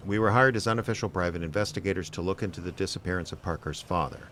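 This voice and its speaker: An actor playing a wry, weary male private investigator, deadpan and sardonic, in radio drama narration and dialogue. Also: low-pitched, very fast, slight background noise. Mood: dry, curt. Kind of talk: first-person noir narration and dry radio drama dialogue.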